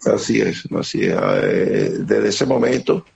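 A middle-aged man with a Venezuelan accent giving an earnest, halting account as an interviewee, often repeating words. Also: lowish voice, creaky, darkish tone. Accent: Venezuelan Spanish. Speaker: interviewee, security operator. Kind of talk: emotional testimony on a remote interview. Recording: muffled lo-fi line with faint noise.

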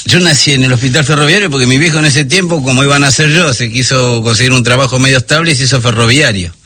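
A man with a Buenos Aires accent presenting music on the radio, hoarse today, explaining things at length with many pauses and fillers. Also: mid-range voice, sharp tone. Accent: Porteño Argentine Spanish. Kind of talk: casual radio chat.

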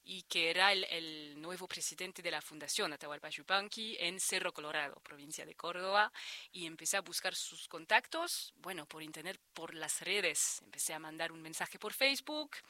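A woman's voice: fairly low pitch, normal speed, sharp tone, no room sound. A woman in her thirties from France, speaking Spanish with a French accent as an interview guest, hesitant with frequent restarts. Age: 30s. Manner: hesitant, many restarts and repeated words. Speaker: interviewed researcher. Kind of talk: conversational interview.

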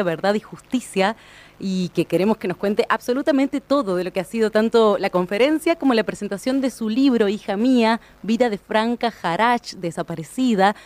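A woman's voice: medium pitch, darkish tone, no echo. A woman hosts a radio interview in a mid-range voice. She introduces her guests with effusive enthusiasm. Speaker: radio host.

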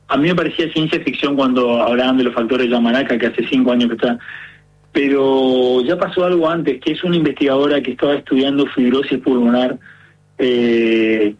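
Argentine man, gerontologist guest, earnest explainer, slightly husky, muffled radio sound. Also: mid-range voice. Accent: Argentine Spanish. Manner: earnest, explaining step by step with many fillers.

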